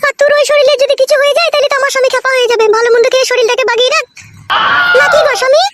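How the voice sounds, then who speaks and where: Squeaky, racing cartoon village wife. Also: female voice, fairly even intonation, racing, very noisy. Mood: fussing. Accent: rural West Bengal. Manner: chattering, bossy, fussing. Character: bossy, fussing, sharp-tongued young village wife. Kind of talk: comic cartoon dialogue.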